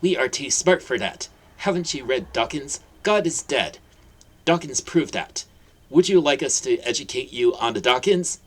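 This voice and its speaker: Guy doing a screechy snooty auntie voice, way higher and loud. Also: expressive, normal speed, close to an everyday voice. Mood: scornful. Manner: screeching, scornful. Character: shrill sneering woman, snooty and loud.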